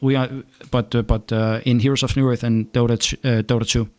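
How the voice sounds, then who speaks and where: A young man from Denmark with a Danish accent, chatting casually while streaming a game and answering viewer questions, often stopping to restart his sentences. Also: medium pitch. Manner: halting, restarts often, relaxed. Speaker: gaming streamer.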